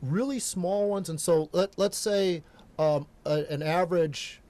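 A middle-aged American man with a voice a little higher than most, talking a TV host through earthquake science from a studio desk mic. He explains with many restarts and self-deprecating asides. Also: unhurried, warm tone. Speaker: geologist guest. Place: local TV studio.

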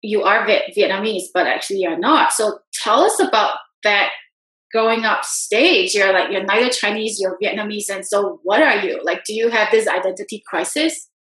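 A woman's voice: medium pitch, normal speed, a bit bright. Woman host on a video call, curious probing questions, roomy echoey sound. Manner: probing questions, laughs easily. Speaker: interview host.

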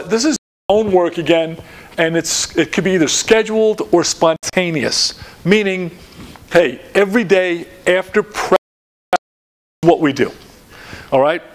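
A man's voice: high voice, normal speed. An older man in his sixties giving a coaching clinic talk in a lecture room, rambling with many fillers and restarts. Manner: rambling, lots of fillers and restarts, gestures at slides. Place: dim lecture room in front of a projected slide.

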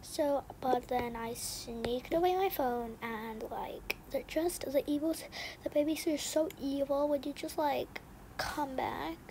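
A sneaky young girl with a grudge, acted by a woman for a game roleplay. The voice is high and unhurried, dropping into breathy, slangy muttering. Clear noise runs through the home recording. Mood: complaining. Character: resentful, sneaky young girl plotting against babysitter. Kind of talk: improvised game roleplay storytelling. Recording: noisy home recording with clicks.

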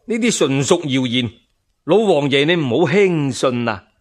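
A man's voice: fairly high pitch, animated, unhurried. A man voicing a guilty, blustering young emperor in a radio storytelling drama, haughty, defensive and evasive. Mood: defensive, dismissive. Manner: evasive, curt denials.

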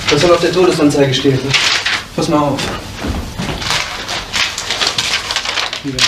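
An actor playing a brooding, tormented young grieving son in a 1970s German radio drama. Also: male voice, fairly high pitch. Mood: insistent, bitter. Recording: echoey room, noisy old recording.

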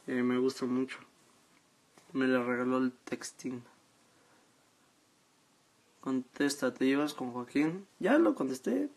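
Male, late teens, Mexican accent. Unhurried, laid-back live streamer with a lowish voice, halting and slangy. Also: a little mellow. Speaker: social media live streamer. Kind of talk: casual chat, reading out and answering viewer comments. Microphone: phone at arm's length.

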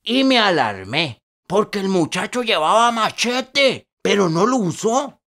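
A man's voice: high-pitched, very animated, a little slow, fairly bright tone, full character voice. An actor playing an older Costa Rican rural foreman who tells his story in a folksy, colloquial way for a radio drama. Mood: grave.

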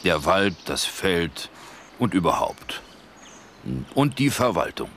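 A man voicing a big, fat, self-important forest bear with a gravelly voice, introducing a children's story pompously and haltingly. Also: low-pitched, sing-song, a bit bright, a little hiss or hum, full character voice.

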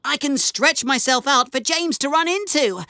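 A woman voicing a cheerful, eager, helpful young male steam engine in a children's cartoon, earnest, polite and expressive. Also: high voice, normal speed, no room sound, clean studio sound, exaggerated voice. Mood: earnest, urgent. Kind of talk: animated children's cartoon acting.

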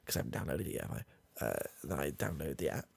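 A man's voice: rumbling low, lively intonation, fast talker, vocal fry, breathy edge, voice pushed down, full character voice. A young man with a British accent playing a muddled, proud old grandad who can't handle technology, rambling and hesitant in a close-miked comedy ASMR roleplay. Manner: rambling, hesitant, full of fillers and restarts. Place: small dim room with coloured lights. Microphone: close mic, face near camera.

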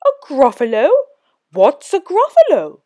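A woman's voice: high voice, huge pitch swings, measured pace, dark tone, pitched above the performer's own voice, exaggerated voice. A woman voicing a flighty male owl from a storybook, with a hooting, theatrical manner. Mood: inviting, curious. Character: flighty male owl, posh, inviting, easily scared. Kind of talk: children's storybook character voice.

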